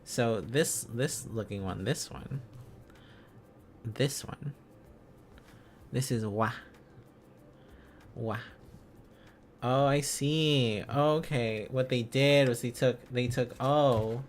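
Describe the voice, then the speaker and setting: A man's voice: mid-range voice, drawn-out words, pauses often, slight background noise. A man live-streaming a casual lesson, thinking aloud in a hesitant, rambling, playful way.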